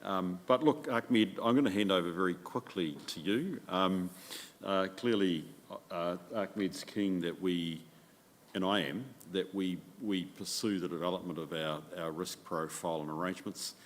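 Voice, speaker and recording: A man's voice: low voice, moderate pace. A middle-aged man chairing a council meeting in a casual, rambling way that keeps correcting itself. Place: council chamber, large room. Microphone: desk microphone. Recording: reverberant council chamber, faint noise.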